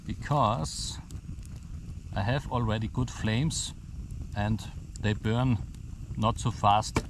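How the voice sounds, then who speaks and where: A man's voice: on the low side, slow, background noise. A man with a German accent, a native German speaker, explaining a camp stove step by step outdoors in a forest. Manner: explains step by step, plain simple phrasing. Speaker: bushcraft instructor. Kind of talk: outdoor demonstration vlog.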